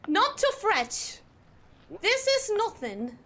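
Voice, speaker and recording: A young woman streaming live, chatting in an exaggerated put-on posh British accent with a theatrical, drawn-out delivery. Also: very high voice, sing-song, sharp tone. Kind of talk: casual live-stream chat.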